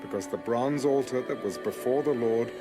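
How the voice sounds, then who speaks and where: A man narrating scripture for an audio Bible in a measured, formal, scripted style. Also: mid-range voice. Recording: music under the voice. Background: music.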